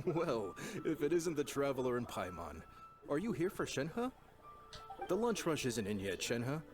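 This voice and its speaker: A man's voice: medium pitch, big pitch swings, unhurried, music in the background. A man voicing a jovial, easygoing middle-aged restaurant chef in an anime game, hearty and friendly.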